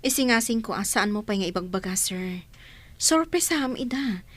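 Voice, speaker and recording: A woman's voice: medium pitch, animated, moderate pace, no room sound, natural voice. A woman acting a respectful, cautious assistant advising her boss in an Ilocano radio drama.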